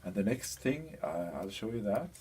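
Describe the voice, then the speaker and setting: A man giving an explanatory training presentation, speaking in a hesitant, halting way as he searches for words. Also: on the low side, expressive, a little mellow, slightly rough. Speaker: presenter of a language training package. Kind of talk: explanatory presentation, loosely scripted.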